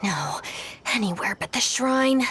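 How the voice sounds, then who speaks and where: A woman's voice: a little high, lively intonation, measured pace, a bit bright, slight background noise. A woman playing a stern, exasperated, dutiful young gang deputy in a video game, dry and no-nonsense. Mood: weary exasperation. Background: game music.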